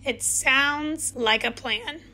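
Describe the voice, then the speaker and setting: A woman's voice: high-pitched, drawn-out words, bright, forceful tone, full character voice. Woman voicing a mild old lighthouse keeper. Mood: agreeable.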